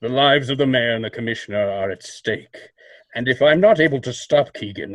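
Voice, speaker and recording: A man playing a mysterious, coolly accusing vigilante with measured, formal, sardonic delivery in old-time radio drama style. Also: mid-range voice, fairly bright tone, no room sound, natural voice, low-quality recording.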